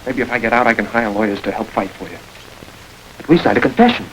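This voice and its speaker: Actor playing a desperate young man, fast and urgent. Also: lowish voice, a bit bright, close to an everyday voice, rough recording. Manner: urgent, pleading. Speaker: Tommy, accused young man. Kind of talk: 1940s radio acting. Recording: hissy old radio recording.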